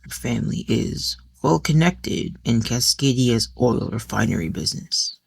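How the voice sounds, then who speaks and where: A cool, distant man of wealth, as acted by a woman narrator, curt and measured in an audiobook drama. The lines come slowly, in a very dry recording. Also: rumbling low, lively intonation, vocal fry, breathy edge, no room sound, voice pushed down, heavily acted. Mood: matter-of-fact.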